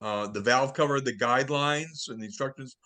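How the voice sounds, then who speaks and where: A man hosting a car club conference call, announcing upcoming events in a relaxed way with many fillers. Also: medium pitch, fairly quick. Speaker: club meeting host.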